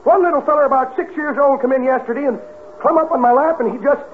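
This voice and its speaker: A very high-pitched, wisecracking old codger plays department store Santa in a radio comedy. He talks in a folksy, rustic way and grumbles through his jokes. The old 1950s broadcast is muffled, with faint noise. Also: quick pace. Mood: wry, folksy. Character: cranky, joking old man working as store Santa.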